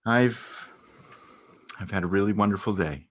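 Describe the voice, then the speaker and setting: A man's voice: on the low side, measured pace. A man playing an anxious, caring partner of an ill woman in a dramatic stage reading, earnest and questioning. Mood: earnest, hesitant.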